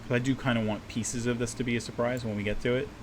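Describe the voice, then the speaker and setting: A man's voice: mid-range voice, fast talker. A man with a slightly scratchy voice hosting a casual livestream from a small home desk, chatting loosely with lots of fillers and restarts as he works. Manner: rambling, relaxed, many fillers and restarts. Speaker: livestream host and map maker. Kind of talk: casual livestream chat while working. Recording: steady air-conditioner hum behind voice. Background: air-conditioning hum.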